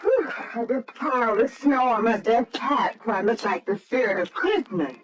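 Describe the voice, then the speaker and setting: High-voiced, sprightly young lady, distant recording. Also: expressive, measured pace. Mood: bright, relieved. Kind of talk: 1940s radio acting. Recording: distant, faintly noisy old radio recording.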